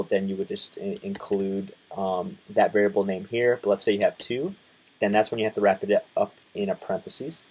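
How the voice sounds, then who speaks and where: A man in his twenties casually talking through a coding tutorial, relaxed, with lots of fillers and restarts. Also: on the low side, slightly rough. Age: 20s. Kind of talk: casual screen-share tutorial.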